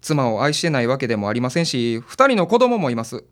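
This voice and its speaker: A man's voice: medium pitch, fast talker, close to an everyday voice. Flustered Kansai family man played by a male actor. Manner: hesitant, defensive, excitable. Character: flustered, sentimental married father, a male client. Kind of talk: audio drama acting.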